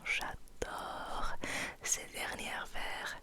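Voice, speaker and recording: A woman whispering in a hushed, deliberate ASMR style, as host of a soft poetry reading, close to the microphone.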